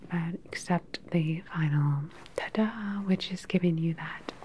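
A woman playing a calm, controlling evil scientist in a sci-fi ASMR roleplay, speaking in a soft, breathy, soothing clinical whisper. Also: close to an everyday voice. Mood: calm, soothing menace. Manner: slow, soothing, clinical personal attention. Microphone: close mic. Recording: faint background lab ambience noise. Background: low sci-fi lab ambience.

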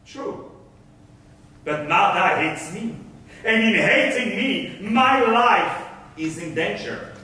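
A scheming, gloating ruler in an Elizabethan stage play, acted by a man. The voice is high and forceful, declaiming with slow deliberation. It rings through a very reverberant hall, picked up from a distance. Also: animated, exaggerated voice. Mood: brooding, scheming. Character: scheming, gloating new governor of Malta, a wronged man. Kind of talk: theatrical staged reading of Elizabethan verse. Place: theatre stage, indoors. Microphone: distant camera. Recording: echoey stage hall from far off, faint noise.

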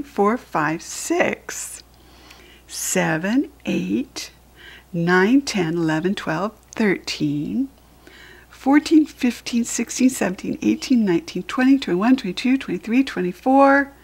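An American woman reads a children's story aloud at a very leisurely pace. Her voice is medium in pitch, soft and husky with plenty of breath in it. She speaks gently to young listeners, explaining as she goes. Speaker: children's book reader.

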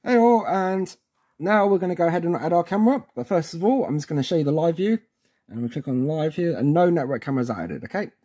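Male tutorial presenter, relaxed mellow tone, a little higher than average, informal and chatty instructional delivery. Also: fast, breathy edge. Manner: informal, chatty, frequently checks in with "okay". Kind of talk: casual instructional walkthrough.

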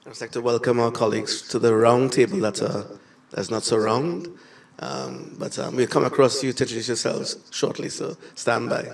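A man's voice: mid-range voice, steady tone, moderate pace, vocal fry. A man moderating a round-table panel with brief, welcoming remarks. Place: conference room. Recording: distant mic in conference room.

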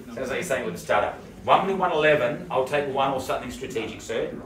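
A middle-aged New auctioneer works a house sale with quick jokes and repeated bid figures. His voice sits at an ordinary pitch for a man. He is heard from across an echoey living room. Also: lively intonation, fairly bright tone, slight background noise. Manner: joking, rapid patter, repeating bids. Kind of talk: live property auction calling. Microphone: camera across the room. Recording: roomy, distant camera audio.

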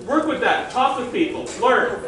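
A man with a very high, projecting voice gives informal instructions to a group as an event organizer. He is recorded from a distance in a heavily echoing open shelter, with a crowd clearly audible around him. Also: lively intonation, normal speed. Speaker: organizer of a live-action roleplay event. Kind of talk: loud rules briefing to a group. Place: open-sided concrete park pavilion. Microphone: far camera.

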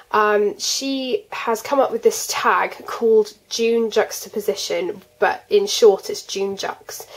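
Teenage female vlogger, fourteen, mid-range pitch, stuffed up with a cold, casual hobby-host chat. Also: rough recording. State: congested with a cold. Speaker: colouring channel host. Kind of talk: casual chat to camera, showing artwork. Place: indoors at a desk.

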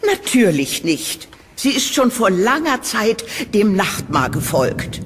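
Elderly eccentric landlady for horror radio play: sweetly eerie, unhurried, theatrical, in a reverberant space. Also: medium pitch, sing-song. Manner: theatrical, halting, doting, with nervous chuckles. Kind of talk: audio drama acting. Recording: reverberant room, background noise.

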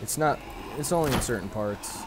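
A man's voice: medium pitch, lively intonation, a little fast. A young man casually commenting as he plays a co-op zombie game with a friend, chatty and a bit profane. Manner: laid-back, chatty, swears casually. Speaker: channel host playing a co-op zombie game. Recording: home game capture with faint game noise.

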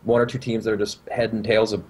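A man's voice: lowish voice, little pitch movement, fast talker, a little mellow, a little hiss or hum. A young man with a North American accent, co-hosting a casual gaming talk show in an informal, rambling way. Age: young adult. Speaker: podcast co-host. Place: indoor room at home, video call.

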